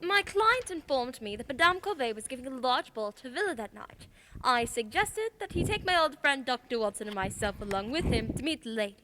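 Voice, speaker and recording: A woman's voice: high voice, very animated, fairly quick, pushed and bright, slight background noise, heavily acted. A woman playing a composed, businesslike female detective in a radio play, speaking formally and politely. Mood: formal, recounting.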